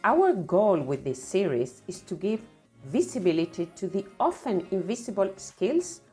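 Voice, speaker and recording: Lively low woman over intro music. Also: a little slow. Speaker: podcast series announcer. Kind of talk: scripted intro. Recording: music under the voice.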